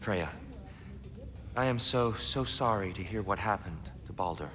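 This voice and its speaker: A man voicing a humble, gentle god of peace in a video game, soft-spoken, earnest and courteous. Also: on the low side, animated, measured pace. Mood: sympathetic. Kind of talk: dramatic video game acting. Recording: reverberant space with clear ambient noise.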